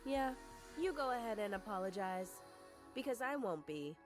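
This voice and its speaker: A woman's voice: medium pitch, expressive, normal speed, over music, lightly acted. A woman voicing a brash, scornful young soldier in a game, blunt and mocking. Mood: scornful, cocky.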